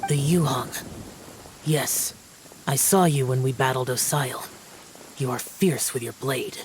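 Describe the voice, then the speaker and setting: A man's voice: mid-range voice, slow, a little hiss or hum, natural voice, poor audio. A man voicing an aloof, solemn young immortal warrior in a game, curt and stern. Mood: reserved. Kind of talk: game character voice acting.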